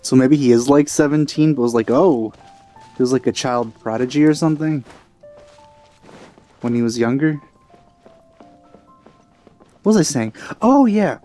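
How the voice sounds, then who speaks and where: Chill dark-voiced guy commenting on a game he's playing, casual, normal pitch, music in back. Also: animated, normal speed, pauses often. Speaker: let's player. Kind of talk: casual off-the-cuff gameplay commentary. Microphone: headset.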